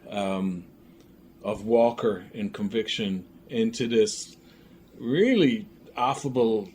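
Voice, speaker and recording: A man in a casual interview, speaking as a creative guest in a reflective, halting way. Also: lowish voice, a little mellow. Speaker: audio drama creator and filmmaker, interview guest. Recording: background noise, room ambience.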